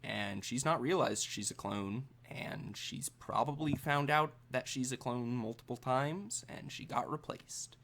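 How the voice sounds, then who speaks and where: A man runs a tabletop roleplaying game, narrating slowly with a creaky edge to his voice. He builds suspense in halting, improvised phrases and lets them trail off. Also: mid-range voice, fairly bright tone, slight background noise. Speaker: game master.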